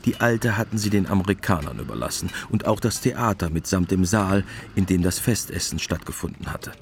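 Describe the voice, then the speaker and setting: A man's voice: low voice, lively intonation, a little fast, a little hiss or hum. A man narrating a radio play from a script.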